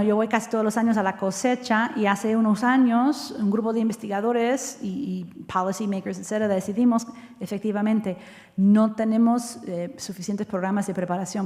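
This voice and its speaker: A woman answers questions from an audience after her talk, candid and quick with a joke. She slips between Spanish and English mid-sentence, her voice sitting fairly high. The sound carries a long reverb from the lecture hall. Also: warm tone. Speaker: guest speaker.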